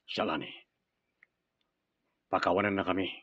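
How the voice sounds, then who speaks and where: Stern, husky gang leader. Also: male voice, sits low, fairly even intonation, moderate pace, a bit bright, dry recording, muffled recording. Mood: insistent. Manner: commanding, severe. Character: stern, ruthless leader of an armed group. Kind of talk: radio drama acting in Ilocano.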